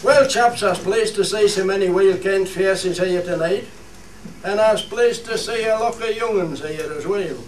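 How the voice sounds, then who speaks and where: Man acting a high-voiced old Cumbrian shepherd chairman. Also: normal speed, lightly acted. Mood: businesslike, genial. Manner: homely, rallying, repeats phrases. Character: hearty old shepherd chairing a village feast meeting. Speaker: chairman of the meeting. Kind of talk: dialect radio play acting. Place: theatre studio. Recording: roomy, distant mic, faint noise.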